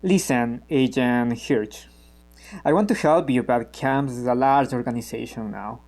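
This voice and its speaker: A man playing a guarded, cynical revolutionary who explains himself in a measured way in a naturalistic radio play. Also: mid-range voice, a little mellow, lightly acted. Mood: guarded, wry. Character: guarded, cynical male member of an armed revolutionary group.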